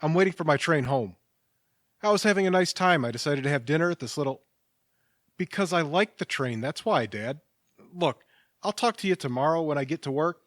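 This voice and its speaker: An actor playing a wry but kindly stranger in an audio drama, conversational and dry-witted. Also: male voice, on the high side, lively intonation, brisk, no room sound, lightly acted. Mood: casual, slightly harried. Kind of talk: audio theatre acting.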